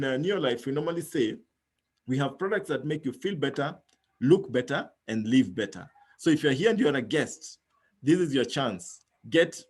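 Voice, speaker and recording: A man's voice: mid-range voice, slightly rough. A man hosting an online business talk, persuading guests and often asking "yeah?". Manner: persuasive, calls for agreement with "yeah?". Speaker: host.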